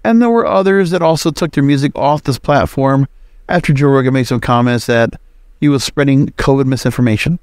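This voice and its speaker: A man's voice: medium pitch, no echo. Man hosting a solo podcast, dry sound. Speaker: podcast host. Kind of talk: solo podcast commentary, conversational.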